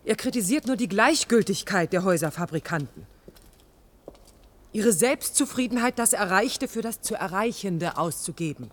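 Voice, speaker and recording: A woman's voice: mid-range voice, animated, moderate pace, natural voice. An actress as a defiant young woman architect. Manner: combative, articulate, unyielding. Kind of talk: 1980s radio play dialogue.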